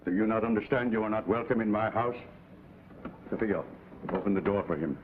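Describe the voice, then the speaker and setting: A man's voice: lowish voice, brisk, close to an everyday voice. An actor playing a stern, bitter older father in a vintage television drama, forbidding and terse. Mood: stern, scornful. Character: stern, bitter old father of slain sons. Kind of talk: 1950s television drama acting. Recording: tinny, hissy vintage TV soundtrack.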